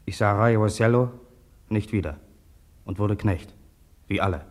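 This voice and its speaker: An actor playing a subdued young German soldier son, recalling his homecoming in short, halting sentences in a radio drama. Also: on the low side, lightly acted. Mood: subdued, reflective. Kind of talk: radio drama first-person recollection.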